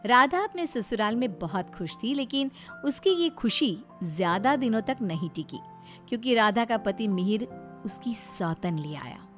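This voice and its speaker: A woman's voice: fairly high pitch, big pitch swings, unhurried, faint music behind. A woman narrating a scripted domestic tale in a storybook style.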